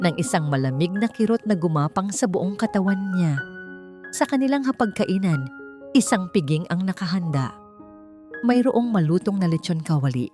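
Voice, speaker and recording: A woman narrating a scripted dramatic story in measured, short phrases. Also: sits low, darkish tone, no echo, clean studio sound.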